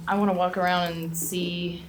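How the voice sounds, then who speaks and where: A woman's voice: fairly low pitch, flat delivery, normal speed, distant mic. A woman playing a tabletop game, casually speaking in character and saying what she does. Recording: echoey room, off-mic, faint noise.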